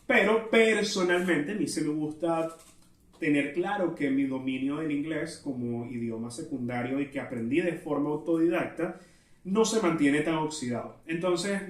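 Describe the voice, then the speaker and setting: A man with a Venezuelan Spanish accent hosting a solo podcast, chatting casually in a self-aware, digressive way. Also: on the high side. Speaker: podcast host. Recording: roomy echo, distant from mic.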